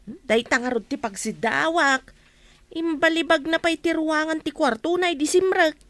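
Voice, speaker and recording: A woman's voice: high-pitched, normal speed, fairly bright tone, dry recording. An actress playing an affectionate, inquisitive older village mother in a radio drama. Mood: prying.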